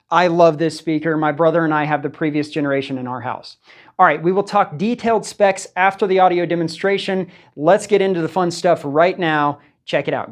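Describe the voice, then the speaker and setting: A man co-hosting a tech video, explaining products in an informal way. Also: on the high side. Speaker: co-host and audio technician.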